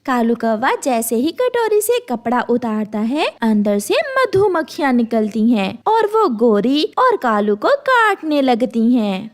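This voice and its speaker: High-pitched, lively woman storyteller. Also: a little slow, hardly any pauses. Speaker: narrator. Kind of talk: scripted children's story narration.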